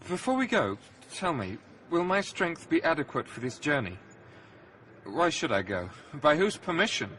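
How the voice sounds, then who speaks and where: A man's voice: a little high, big pitch swings, moderate pace, fairly bright tone, a little hiss or hum. A man playing a humble, devoted pilgrim, speaking earnestly and reverently in an audio drama. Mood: anxious, doubting. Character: Dante the pilgrim, anxious, humble, devoted male traveller.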